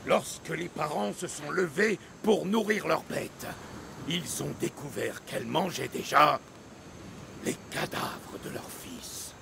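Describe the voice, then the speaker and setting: Excitable old seafarer, theatrical game storyteller, higher-pitched and gravelly. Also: male voice, slow pace, slight background noise, heavily acted. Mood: animated, grave. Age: older. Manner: theatrical, expansive. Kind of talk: dramatic video game acting.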